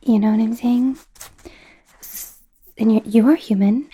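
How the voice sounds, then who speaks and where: A young woman voicing an awkward, flustered female werewolf student in a soft, whispery ASMR roleplay, stammering and rambling nervously. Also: fairly high pitch, fairly even intonation, unhurried, rough recording. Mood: flustered, curious. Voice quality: soft, breathy, often whispery. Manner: nervous, stammering, rambling, indignant when embarrassed.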